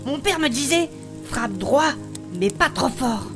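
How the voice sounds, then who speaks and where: A woman playing a spirited female blacksmith adventurer, recounting her past in animated, colloquial in a fantasy audio drama. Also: sits high, a little slow, music in the background. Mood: fond, proud. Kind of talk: French fantasy audio fiction acting.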